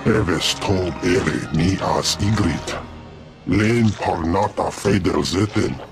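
Brief: slow, gravelly alien captive in a game, very low voice. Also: male voice, music in the background, exaggerated voice. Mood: pleading. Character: alien male scientist speaking an alien tongue. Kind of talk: video game cutscene.

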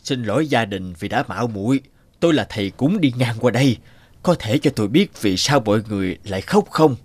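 A man's voice: mid-range voice, expressive, a little fast, husky, dry recording. A man voicing a kindly, determined wandering priest in a fairy-tale cartoon, speaking earnestly. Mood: polite. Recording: music behind the voice.

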